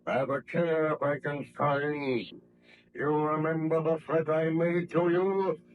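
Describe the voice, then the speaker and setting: Slow, menacing monster, muffled old radio. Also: male voice, fairly high pitch, steady tone, faint music behind. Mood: threatening. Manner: slow, deliberate, formal archaic speech. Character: hideous murderous male monster, slow, brooding, menacing. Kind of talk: 1930s radio horror acting. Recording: dull lo-fi old radio recording.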